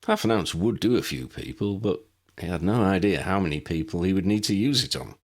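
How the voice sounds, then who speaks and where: Deep-voiced man reading a story. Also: lively intonation, fairly quick. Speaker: audiobook narrator. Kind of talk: storybook narration.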